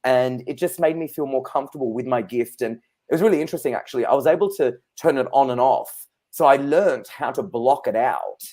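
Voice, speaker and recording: A man in his thirties talks as a guest in a relaxed interview. He tells long personal stories in a chatty, anecdotal way, with easy laughs between them. His voice sits at a middling pitch. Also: fairly quick, hardly any pauses. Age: 30s. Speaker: psychic guest. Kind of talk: casual interview chat.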